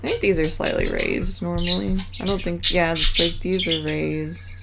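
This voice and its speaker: A woman with a Southern US accent chatting casually with her stream chat while drawing, relaxed and laughing often. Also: lowish voice, unhurried, vocal fry, very noisy. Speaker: artist live streaming, reading chat.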